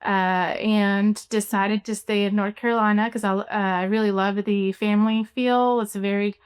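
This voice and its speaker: A young adult woman from Cuba, an orthodontist, answering interview questions in a relaxed way with many fillers. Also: medium pitch, moderate pace, smooth. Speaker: orthodontist guest.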